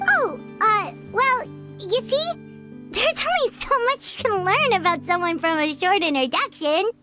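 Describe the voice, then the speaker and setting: Super high squeaky little game fairy, naive and excitable, really bouncy pitch, music behind. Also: female voice, unhurried. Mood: curious. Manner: hesitant, blurting, childlike. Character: tiny childlike floating fairy companion, excitable, naive, female. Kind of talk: video game voice acting.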